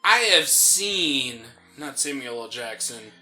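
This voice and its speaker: A man's voice: mid-range voice, slow, soft background music. An American man co-hosting a gaming show, telling stories in casual banter and acting out the reactions he quotes. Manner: animated storyteller who acts out quoted reactions. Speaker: gaming podcast co-host. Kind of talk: casual banter while playing a video game.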